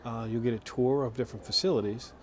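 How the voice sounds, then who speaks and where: A man giving an informal interview testimonial as an alumnus, halting and adding wry asides. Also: medium pitch, steady tone, a little hiss or hum. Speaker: program alumnus.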